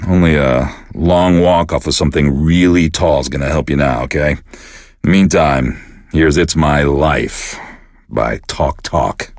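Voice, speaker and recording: An actor playing a self-pitying, sardonic radio DJ in a video game, world-weary and deadpan. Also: male voice, a bass voice, measured pace, no room sound, clean studio sound. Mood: bleakly sarcastic. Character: bitter, self-pitying male radio DJ with a wrecked life. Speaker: radio DJ host. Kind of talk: scripted radio DJ patter for a game.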